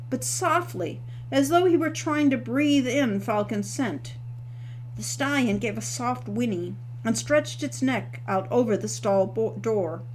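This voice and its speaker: A woman author reading her novel aloud as the narrator, in a steady storytelling manner. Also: a little high, a little hiss or hum, low-quality recording. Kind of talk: scripted audiobook narration.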